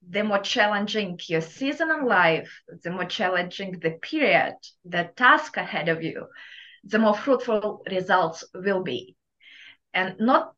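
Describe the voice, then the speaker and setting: A woman's voice: mid-range voice, a bit bright, muffled recording. A woman from Kazakhstan with a thick Russian accent, giving earnest motivational advice as an interview guest. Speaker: guest entrepreneur.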